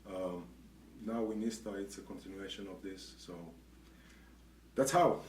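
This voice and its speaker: A middle-aged man answering interview questions, hesitant, pausing often and restarting sentences. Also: low-pitched. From grew up in Israel. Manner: hesitant, many pauses and restarts. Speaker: guest, association executive. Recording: echoey room, distant mic, faint noise.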